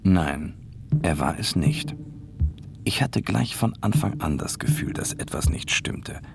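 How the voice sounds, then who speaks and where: Deep-voiced, wary private detective. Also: male voice, very animated, measured pace, gravelly, music in the background, exaggerated voice. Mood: suspicious, reflective. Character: wary, world-weary male private detective in space. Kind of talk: noir radio drama narration.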